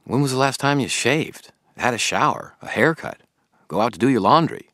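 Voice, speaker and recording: A man's voice: medium pitch, expressive, moderate pace, fairly bright tone, dry recording, studio quality, pitched above the performer's own voice. A man voicing an earnest young man who pesters and pleads with his friend in a storybook. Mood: concerned. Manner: insistent, pleading.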